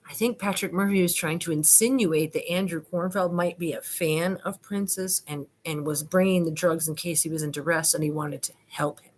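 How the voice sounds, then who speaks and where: A woman's voice: fairly low pitch, normal speed, few pauses, a little mellow, a little hiss or hum. A woman hosting a video, reading aloud and commenting in a colloquial, digressive, self-deprecating way. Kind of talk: informal dramatic reading with running commentary.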